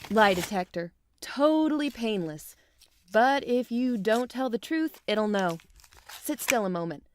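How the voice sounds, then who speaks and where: A woman's voice: on the high side, animated, unhurried, a little hiss or hum. A woman playing a friendly, chatty wasteland patroller in an audio drama, soothing and familiar in manner. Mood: reassuring. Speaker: voice actor.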